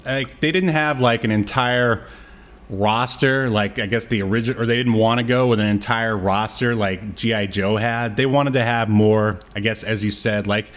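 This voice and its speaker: A man's voice: fairly low pitch, normal speed, fairly bright tone. A man co-hosting a podcast in casual chat, talking in a loose, rambling way with chuckles. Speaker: podcast co-host. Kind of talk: casual chat about cartoons.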